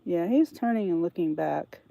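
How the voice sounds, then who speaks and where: A woman's voice: fairly low pitch, animated, normal speed, vocal fry, mellow, no echo. Congested older woman rambling casually. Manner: rambling, thinks aloud, restarts sentences. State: congested with a head cold. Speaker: vlogger. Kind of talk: casual rambling chat to camera.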